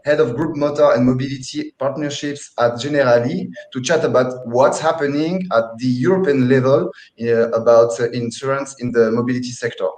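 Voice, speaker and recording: A man's voice: mid-range voice, fairly even intonation, darkish tone. Webinar host man in an echoey room. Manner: polite, halting, some fillers. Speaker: host and moderator. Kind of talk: hosting a webinar talk.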